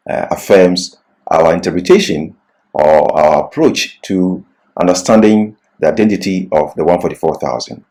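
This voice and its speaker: A man giving a religious seminar lecture as a Bible class teacher, with deliberate, emphatic phrasing broken by pauses mid-sentence. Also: low voice, mellow.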